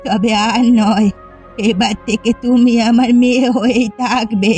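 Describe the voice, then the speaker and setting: A woman playing an elderly, clinging mother-in-law in an animated family drama, speaking with emotional emphasis. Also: on the high side, unhurried, soft background music, close to an everyday voice. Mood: remorseful, pleading. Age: older. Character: elderly widowed mother-in-law, jealous, clinging, later remorseful.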